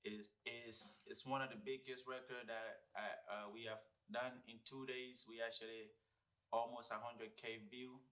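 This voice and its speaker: A young man with a Liberian accent, a guest musician chatting casually in an interview, relaxed and quick to laugh. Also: mid-range voice. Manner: relaxed, laughs often, restarts words.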